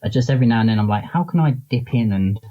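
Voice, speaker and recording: A man's voice: mid-range voice, expressive, quick pace, highs cut off. A man being interviewed as a guest, talking casually and informally in loose, rambling explanations. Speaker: interview guest, comics charity founder and publisher.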